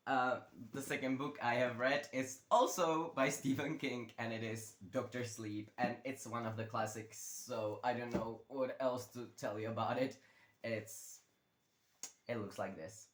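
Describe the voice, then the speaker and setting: A man's voice: medium pitch, a little slow, slight background noise. Chatty young man. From Czech Republic. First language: Czech. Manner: chatty, rambling, with many fillers. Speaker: book vlogger. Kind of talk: casual chat. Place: bedroom. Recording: roomy bedroom echo.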